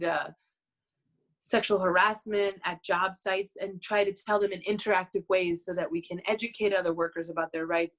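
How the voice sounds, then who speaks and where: A woman from Chicago, a theater artist and mother, talks thoughtfully and pauses on fillers. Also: fairly low pitch. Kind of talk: personal introduction.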